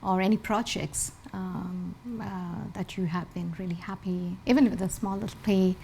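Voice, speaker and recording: A woman from India hosting a television interview, asking questions gently and murmuring soft affirmations as her guest speaks. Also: lowish voice, fairly even intonation, moderate pace, vocal fry, a little hiss or hum. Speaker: talk show host.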